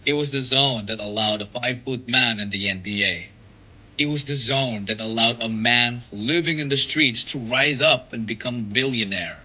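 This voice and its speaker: A man's voice: medium pitch, moderate pace, bright, forceful tone, breathy edge, poor audio. A man narrating a documentary in a scripted, dramatic, rhetorical style.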